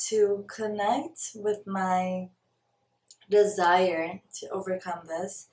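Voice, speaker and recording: Young woman vlogger from Brazil, earnest and reflective, mid-pitched, roomy off-mic sound. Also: a little mellow, distant mic. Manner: earnest and searching, stops to look for words. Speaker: spiritual vlogger. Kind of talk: reflective personal talk to camera.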